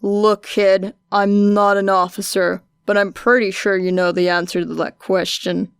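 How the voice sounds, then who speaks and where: A woman voicing a gruff, weary man, a terse and deadpan hero in an audiobook story. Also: medium pitch, unhurried, no room sound, clean studio sound, lightly acted. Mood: dry, tired. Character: gruff, weary, terse male hero, adult vigilante-catcher.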